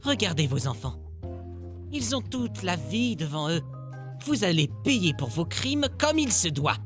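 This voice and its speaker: A man's voice: high-pitched, very animated, measured pace, exaggerated voice. A man voicing an earnest, nervous and principled demon assassin in a cartoon dub, formal and a little hesitant. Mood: righteous. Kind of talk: animated series dub acting. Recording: loud music behind the voice.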